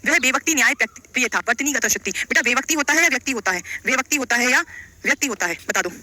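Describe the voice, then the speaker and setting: A woman's voice: sits high, lively intonation, quick pace, pushed and bright, slight background noise. A woman teaching a live online lesson, explaining quiz questions in an instructive way, repeating phrases and putting questions to her students. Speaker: Hindi grammar teacher for exam coaching.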